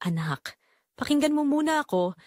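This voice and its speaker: A woman's voice: high voice, big pitch swings, normal speed, darkish tone, dry recording, clean studio sound, pitched above the performer's own voice. A woman voicing a guilty middle-aged mother in an audio drama, pleading emotionally.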